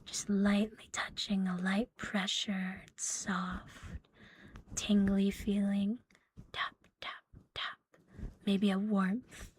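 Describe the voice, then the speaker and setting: A woman with a medium-pitched, breathy voice does ASMR for a live stream. She takes her time, often dropping into a soft whisper close to the microphone. Her manner is playful and intimate, drifting between roleplay and casual rambling. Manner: playful, giggly, intimate, lingering on repeated words.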